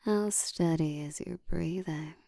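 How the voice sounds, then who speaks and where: Actress as commanding female vampire for roleplay audio: very slow, breathy whisper, dark low tone, teasing control. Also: dry recording, clean studio sound, full character voice.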